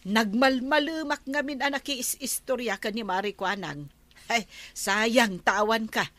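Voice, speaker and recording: High-voiced, unhurried Ilocano village woman acted out. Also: fairly bright tone, no echo. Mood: chatty, probing. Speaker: actor in comedy drama. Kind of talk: Ilocano comedy drama acting. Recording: clearly noisy with clicks.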